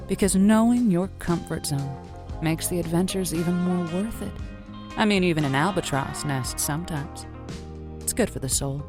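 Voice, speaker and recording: A woman playing a sultry, worldly late-night lonely hearts radio host, with theatrical, flirtatious, wry phrasing in an audio drama. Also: fairly low pitch. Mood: inviting, flirtatious.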